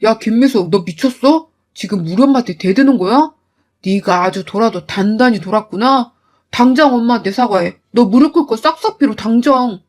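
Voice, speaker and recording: A woman's voice: fairly high pitch, expressive, moderate pace. A woman voicing an arrogant, bullying husband who barks orders and talks down with contempt. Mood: commanding. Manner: bossy and contemptuous.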